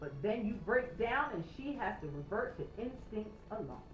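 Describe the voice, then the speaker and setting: Woman acting out a stern old shepherd, emphatic and gruff. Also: a little high, sing-song. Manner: commanding, emphatic, measured instruction. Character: stern old Scottish sheepdog trainer, gruff but caring. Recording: roomy, slightly noisy, dull home recording.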